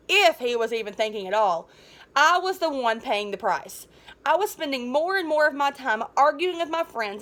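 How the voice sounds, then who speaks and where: Woman reading as a high-voiced, resentful schoolboy bully. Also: fairly bright tone. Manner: sarcastic, grumbling storytelling. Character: sour, resentful middle-school boy, a dethroned bully. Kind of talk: first-person children's novel read aloud.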